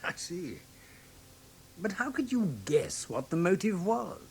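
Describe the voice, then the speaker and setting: An actor in a British radio drama playing a genial, admiring gentleman companion to a detective, hesitant, with an English accent. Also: sits high, very animated, slow, vocal fry, exaggerated voice. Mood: curious. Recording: old radio recording with faint noise.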